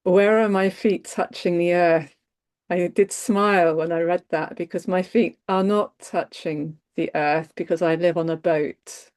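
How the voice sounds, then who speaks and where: A woman with a croaky voice, gently and wryly sharing in an online circle. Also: lowish voice, fairly even intonation, measured pace. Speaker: participant.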